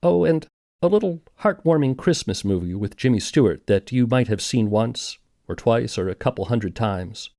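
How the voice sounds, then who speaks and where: A man's voice: medium pitch, expressive, normal speed, gravelly, dark tone. A man narrating a scripted documentary podcast in a conversational, wry manner.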